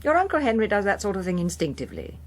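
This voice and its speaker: An actress playing an arch, witty middle-aged English mother in a radio drama, with a husky voice and a dry, reproving manner. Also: mid-range voice, sing-song, racing. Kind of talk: British radio drama acting.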